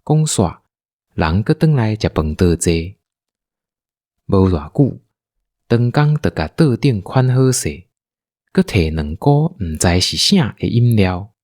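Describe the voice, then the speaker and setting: Male drama narrator, Taiwanese accent, low and unhurried storytelling with lively swings. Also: no room sound, studio quality.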